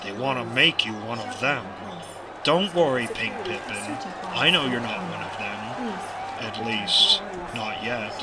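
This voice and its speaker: A man's voice: mid-range voice, lively intonation, unhurried, a loud crowd, lightly acted. A man acting in an audio drama as a bitter, menacing pony rebel leader, theatrical and taunting. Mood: menacing, persuasive.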